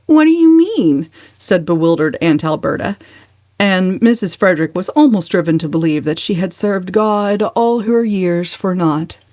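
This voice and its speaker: A woman's voice: fairly low pitch, moderate pace, darkish tone, no room sound, studio quality. A woman giving a dramatic, scripted reading of a novel as its narrator. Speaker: audiobook narrator.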